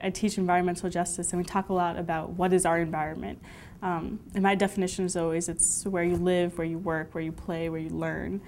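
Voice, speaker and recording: A woman teacher recalling a program activity in an interview, in a reflective, halting way. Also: on the low side, little pitch movement, music in the background. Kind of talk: interview recollection.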